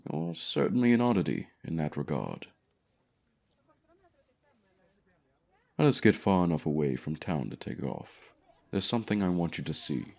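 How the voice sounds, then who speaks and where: A man voicing an ancient, lonely, haughty male dragon in a fantasy audio roleplay, speaking in a formal, measured, courteous way. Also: deep voice, sing-song, gravelly, darkish tone, exaggerated voice. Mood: gently reassuring.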